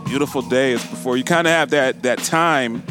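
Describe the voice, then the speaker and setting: A man's voice: mid-range voice, moderate pace, over music. A man hosting a casual talk radio show, often repeating and restarting phrases for emphasis.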